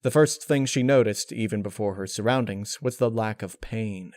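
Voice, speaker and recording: A man's voice: fairly low pitch, moderate pace, darkish tone, no room sound. Dry, close male story narrator. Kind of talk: scripted fan fiction narration.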